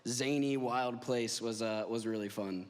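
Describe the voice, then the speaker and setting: A man's voice: medium pitch, measured pace. A man answering interview questions about acting, rambling and laughing as he talks. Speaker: actor guest. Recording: echoey convention hall.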